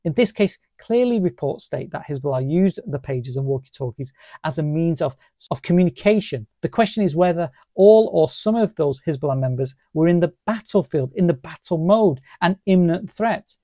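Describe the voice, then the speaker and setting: Middle-aged man, mellow formal explainer. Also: fairly high pitch, lively intonation, normal speed, no room sound, clean studio sound. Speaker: presenter of legal education video. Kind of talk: scripted legal explainer.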